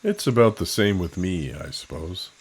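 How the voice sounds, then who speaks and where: An actor playing a brooding, protective young American soldier in an audio drama, earnest and measured. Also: male voice, sits low, big pitch swings, husky, full character voice. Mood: troubled, earnest.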